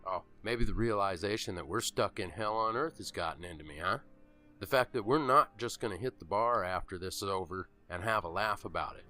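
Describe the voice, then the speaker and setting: A man's voice: on the low side, brisk, sharp tone, faint music behind. A man playing an angry, bitter survivor who scolds aggressively with sarcasm in a horror audio drama. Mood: bitter, furious. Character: angry, bitter, foul-mouthed man hardened by apocalypse.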